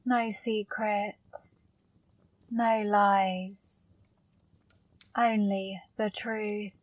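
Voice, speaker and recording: Casting: woman voicing a seductive female demon for ASMR, slow and level delivery, dry close sound. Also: medium pitch, level tone, dry recording. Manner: slow, soft, commanding. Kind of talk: ASMR roleplay.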